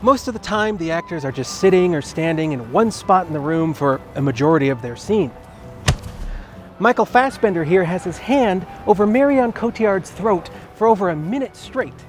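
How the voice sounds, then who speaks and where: Guy w a kinda high voice doing a sarcastic scripted movie review, informal, bit of music behind him. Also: expressive, moderate pace. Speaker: video essayist and film reviewer. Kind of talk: scripted video essay narration with comedic skits.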